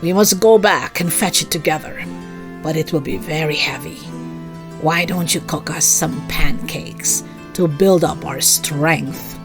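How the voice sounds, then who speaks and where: A woman's voice: low-pitched, a little slow, over music. A woman reading the part of a sly old peasant husband in a storybook, coaxing and confiding.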